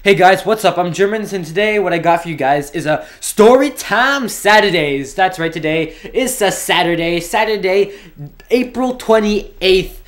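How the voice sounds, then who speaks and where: A man's voice: high voice, normal speed. A young man from Canada telling a story in a casual, rambling way, often restarting his sentences. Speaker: vlogger.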